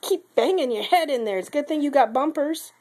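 A woman's voice: high voice, animated, fairly quick, darkish tone, a little hiss or hum. Sick mother in sing-song baby talk. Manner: sing-song, playful, teasing. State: sick, voice lower than usual. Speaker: mother filming her baby. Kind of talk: home video baby talk. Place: baby's nursery, indoors. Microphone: handheld camera.

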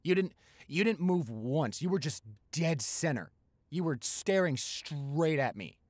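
A man's voice: a little high, lively intonation, a little slow, a little mellow, dry recording. A young man playing a tender, playful, smitten new boyfriend in an intimate roleplay, talking in a conversational, hesitant, affectionate way. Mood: playful, caring. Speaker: roleplay audio performer.

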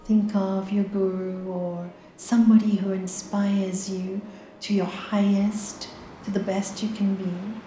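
A woman leading a guided meditation, giving slow, soft, calm instructions with long pauses. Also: mid-range voice, reverberant, soft background music.

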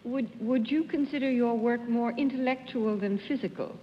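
A woman's voice: a little high, moderate pace, slight background noise. A woman on a quiz-show panel asking probing questions in a television studio. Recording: dull lo-fi 1950s broadcast with hall reverb.

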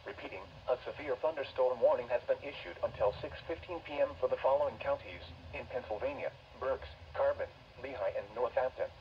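A man's voice: medium pitch, fairly quick, hardly any pauses. Synthetic male weather-alert voice. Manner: even, mechanical listing of places and times. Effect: text-to-speech voice. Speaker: automated weather alert announcer. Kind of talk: synthesized weather bulletin reading. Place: weather radio played on a desk indoors. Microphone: camera recording a radio speaker. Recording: dull radio speaker, faint hiss.

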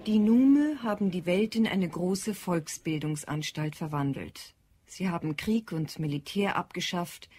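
Casting: female narrator for radio play, formal scripted delivery, lowish register with husky grain. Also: unhurried, mellow. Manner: sober, formal. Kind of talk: scripted audio drama narration.

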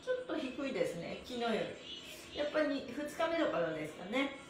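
A middle-aged woman hosting a livestream stretching class, chatty and encouraging, with an energetic, sing-song way of instructing. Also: a little high, fast talker, faint music behind. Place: small bare-walled indoor studio room. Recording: roomy echo, mic a little away.

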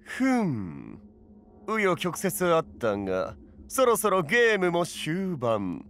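An amused male game master in an anime drama, slightly high and very melodic. His diction is archaic, like an old man's, and theatrical. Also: huge pitch swings, takes it slowly, fairly bright tone, slight background noise, full character voice. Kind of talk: Japanese anime voice drama acting.

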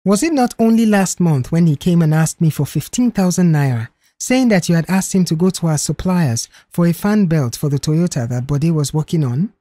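A man's voice: a little high, lively intonation, normal speed, hardly any pauses, warm tone, dry recording, studio quality, natural voice. A man voicing a young female office cashier in an audiobook, defensive and explanatory in manner. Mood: indignant. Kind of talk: audiobook character dialogue.

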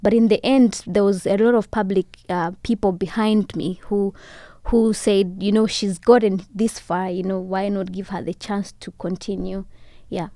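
A woman's voice: medium pitch, fairly even intonation, normal speed, few pauses, dry recording. Rwandan-born young woman, dry studio mic. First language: Kinyarwanda. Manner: warm storytelling with soft laughs and many "you know"s. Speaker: guest. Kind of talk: casual interview chat. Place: podcast studio, indoors. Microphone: studio boom mic with headphones.

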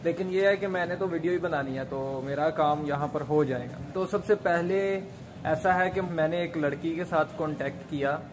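A man's voice: on the high side, moderate pace. A young man. He explains things casually, mixing in English words, on a noisy phone recording made at arm's length in an open public area. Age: 20s. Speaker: vlog host. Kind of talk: casual vlog talk to camera.

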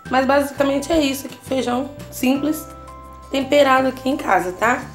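A woman's voice: high-pitched, steady tone, normal speed, faint music behind. A woman with a Brazilian Portuguese accent hosting a casual home cooking tutorial, chatting to her viewers as she cooks in her kitchen. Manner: chatty, constantly checking in with the viewer. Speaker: cooking channel host.

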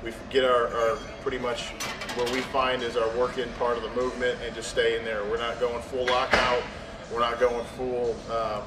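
A bodybuilder in his thirties explains his workout in a matter-of-fact way, full of trailing fillers. His voice stays level and unvaried. The sound is roomy and distant, with clear gym noise around him. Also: mid-range voice, little pitch movement, moderate pace. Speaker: competing bodybuilder. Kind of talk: casual gym talk to camera. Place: large indoor gym. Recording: echoey gym audio from a distance.

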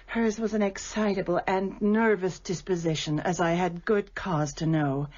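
An actress playing a haughty, gracious widowed baroness in a radio drama, with formal, emphatic, theatrical speech and a husky voice. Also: female voice, fairly low pitch, fairly even intonation, normal speed, a little mellow, close to an everyday voice. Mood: composed, explaining. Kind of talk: British radio drama acting. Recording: muffled radio broadcast.

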